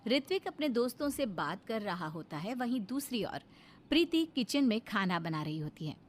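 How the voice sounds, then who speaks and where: A woman's voice: a little high, very animated, normal speed, a little hiss or hum. A woman reading scripted narration for a cartoon story.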